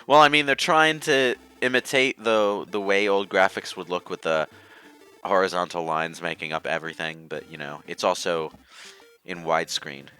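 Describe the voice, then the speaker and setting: A man's voice: deep voice, fairly even intonation, normal speed, pushed and bright, clean tone, faint music behind. A man giving opinionated, rambling commentary in a casual group chat over a video game. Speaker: gaming commentator.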